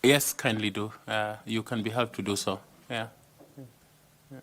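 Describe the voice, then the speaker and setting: A man chairing a selection panel, welcoming a candidate in a courteous, deliberate and formal way. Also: fairly low pitch, fairly even intonation, a bit bright. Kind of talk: formal panel interview opening. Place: interview room. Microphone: table microphone.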